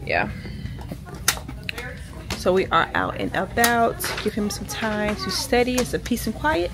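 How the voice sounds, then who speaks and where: A young woman talks straight to the camera she holds at arm's length. She chats loosely with her viewers and rambles from one thing to the next. Her voice sits at a middle pitch for a woman. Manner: chatty, rambling, addresses viewers as y'all. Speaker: vlogger. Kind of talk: casual chat to camera. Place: home bedroom and a store. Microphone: handheld camera at arm's length.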